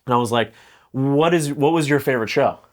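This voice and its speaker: A man's voice: medium pitch, a little fast. A man in his thirties chatting casually as a podcast guest, loose and profane, often breaking off and restarting. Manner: loose, profane, self-interrupting.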